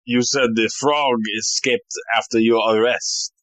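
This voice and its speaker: A man playing a deadpan, unruffled gentleman in a farcical audio play. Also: mid-range voice, measured pace, no echo, natural voice. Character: deadpan, unruffled gentleman correcting a muddled inspector.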